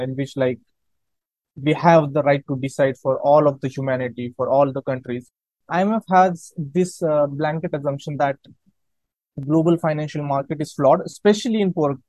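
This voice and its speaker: A man's voice: fairly high pitch, a little mellow, no room sound, poor audio. A young man from India discussing economics on a student podcast, hesitant and full of restarts. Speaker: podcast participant. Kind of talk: academic discussion.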